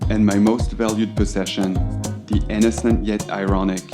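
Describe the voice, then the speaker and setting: A very low-voiced film director, played by a man, pours out ornate, worshipful devotion. The delivery is literary and ardent, like a love letter read aloud in an audio drama. Plucked guitar music plays clearly behind the voice. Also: little pitch movement, moderate pace, natural voice. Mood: ardent, reverent. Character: French film auteur, ardent, florid romantic letter writer.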